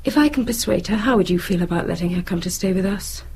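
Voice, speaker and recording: An actress playing a troubled, weary daughter and wife in a naturalistic radio drama, speaking earnestly. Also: female voice, medium pitch, fast talker, a little mellow, a little hiss or hum, close to an everyday voice. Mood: subdued, earnest. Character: downcast, tense adult daughter and wife, troubled.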